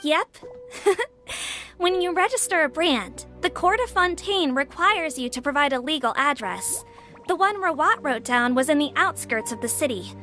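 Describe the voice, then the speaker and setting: Young woman officer in a game, high-pitched, businesslike, matter-of-fact, faint music. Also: expressive, normal speed, sharp tone. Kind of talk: video game character dialogue.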